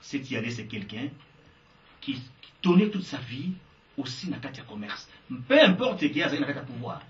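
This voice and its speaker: A man's voice: medium pitch, big pitch swings, moderate pace. A man with a Congolese French accent giving emphatic interview answers and mixing in Lingala. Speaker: guest. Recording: echoey, distant, dull sound.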